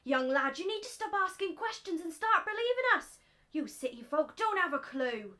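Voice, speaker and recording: A woman's voice: very high-pitched, very animated, moderate pace, pushed and bright, exaggerated voice. A teenage girl playing a bold, superstitious country girl in a wartime radio play, emphatic and taunting. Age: teens.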